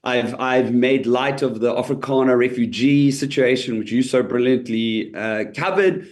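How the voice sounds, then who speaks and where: A man's voice: mid-range voice, normal speed, darkish tone. Chatty comedian man telling a story. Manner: chatty storytelling, laughs easily, many restarts. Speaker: comedian guest. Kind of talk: interview.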